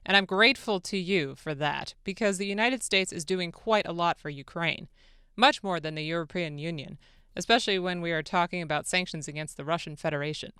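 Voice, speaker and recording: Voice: medium pitch, fairly quick, bright, forceful tone, smooth, no echo, clean studio sound, close to an everyday voice. A radio producer doing a dramatic reading as an eager, deferential male foreign president, with emphatic, earnest delivery. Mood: agreeable. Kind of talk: dramatic reading of a phone-call transcript.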